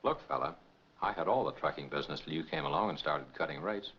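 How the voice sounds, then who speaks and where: Deep, menacing noir business rival. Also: male voice, fast talker. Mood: pushy. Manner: falsely friendly, pushy. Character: smooth-talking, menacing rival trucking operator, a man. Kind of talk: 1940s film noir acting. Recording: muffled, noisy 1940s film soundtrack.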